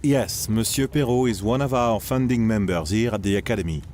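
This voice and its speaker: A man's voice: lowish voice, fairly quick, darkish tone, slight background noise, close to an everyday voice. A man playing a courteous 1700s Frenchman in an audio drama, speaking politely and formally. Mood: tactful, hesitant.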